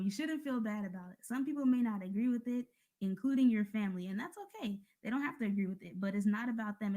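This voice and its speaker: A woman's voice: medium pitch, dark tone. American woman giving an informal motivational talk. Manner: conversational, addressing listeners directly. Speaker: speaker giving a religious talk at a mosque.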